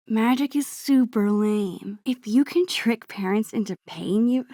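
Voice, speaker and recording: A woman voicing a nerdy, gentle teenage girl in a video game, soft-spoken and often breathy, with a wry, slightly awkward, nostalgic way of teasing her best friend. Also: fairly high pitch, no echo, studio quality, exaggerated voice. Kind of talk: naturalistic video game dialogue acting.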